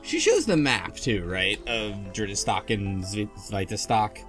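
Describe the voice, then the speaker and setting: A man's voice: on the low side, wildly swooping pitch, unhurried, a bit bright. A man in a casual discussion of a game, tentative and rambling as he thinks aloud. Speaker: podcast panelist. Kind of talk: casual chat about a visual novel. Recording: faint music behind the voice. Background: faint music.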